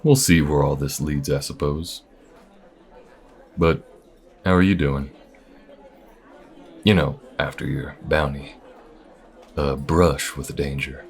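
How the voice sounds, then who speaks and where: A man voicing an easygoing, wry alien bounty hunter with folksy, unhurried phrasing in an audio roleplay. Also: very deep voice, fairly even intonation, vocal fry, exaggerated voice. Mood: warm, steady. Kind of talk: audio roleplay addressed to the listener. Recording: restaurant chatter behind. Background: restaurant crowd ambience.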